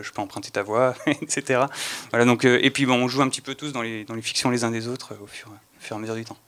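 Male panel guest, mid pitch, clear smooth tone, informal and hesitant delivery. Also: fairly bright tone. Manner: hesitant, halting phrasing. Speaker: audio fiction creator, guest. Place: radio-style panel recording.

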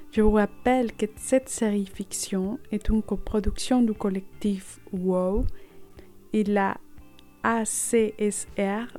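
A woman's voice: medium pitch, warm tone. A woman radio host giving a scripted presentation of a programme. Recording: music behind the voice. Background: music.

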